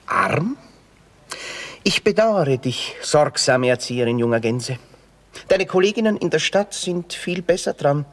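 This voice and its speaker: An actor playing a witty, bitter young drifter in a Viennese stage comedy for radio, with an Austrian accent and pompous wordplay. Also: male voice, fairly high pitch, animated, measured pace. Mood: florid, ironic. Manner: florid, pompous wordplay with dry asides. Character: witty, bitter red-haired young drifter, grandiloquent and sardonic.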